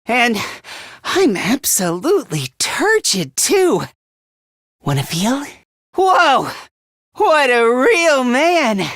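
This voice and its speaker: Shy self-deprecating anime game boy, high stammery voice, really up and down, dry close sound. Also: very animated, very slow, long pauses, fairly bright tone, no room sound, exaggerated voice. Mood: giddy. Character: timid, self-deprecating, excitable teenage boy. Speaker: voice actor. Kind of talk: theatrical anime-style game acting.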